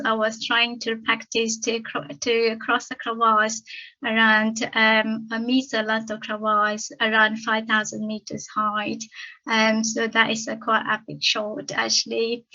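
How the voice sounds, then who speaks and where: Malaysian woman in her fifties, tamil native, bright voice, stammering, many ums, lo-fi sound. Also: a little high, completely flat delivery. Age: 50s. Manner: frequent ums, slow careful phrasing with stuttering blocks. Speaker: conference speaker. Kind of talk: personal talk with slides. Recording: dull lo-fi sound.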